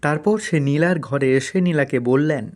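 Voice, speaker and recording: Fairly high-voiced male storyteller. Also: moderate pace, a little mellow. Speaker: narrator. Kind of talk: scripted cartoon story narration.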